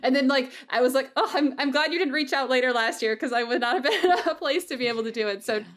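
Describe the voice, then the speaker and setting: A woman's voice: high-pitched, fast, fairly bright tone. A woman hosting a casual, heartfelt podcast conversation, effusive and grateful in manner. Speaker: podcast host and audio drama creator.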